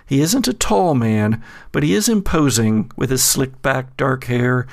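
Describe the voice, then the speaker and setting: A man at a middle pitch, his voice carrying a gravelly texture, running a gothic horror game for his players. He describes scenes at a measured storyteller's pace, then turns more hesitant and searching when he addresses the characters directly. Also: animated. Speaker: game master. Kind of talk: narrating a tabletop horror game.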